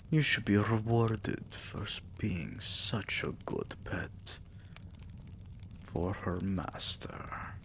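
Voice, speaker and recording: A man's voice: low voice, gravelly, a bit bright, a little hiss or hum, heavily acted. A man voicing a tender, possessive vampire king in an intimate, soothing ASMR roleplay, murmuring and often slipping into whispers.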